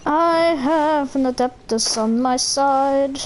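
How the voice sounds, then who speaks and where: A woman's voice: high-pitched, takes it slowly, low-quality recording. A woman streaming a game with casual commentary and blunt, grumbling asides. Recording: faint background noise.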